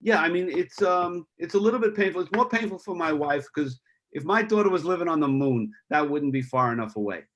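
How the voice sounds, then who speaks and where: Middle-aged male guest, halting, sincere, higher-pitched voice, video call. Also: lively intonation, fairly bright tone. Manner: halting, many restarts, heartfelt.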